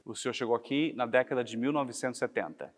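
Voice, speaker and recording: Brazilian interviewer, friendly and formal, mid-pitched voice, long hall reverb. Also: male voice, fast. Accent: Brazilian Portuguese. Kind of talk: interview questions.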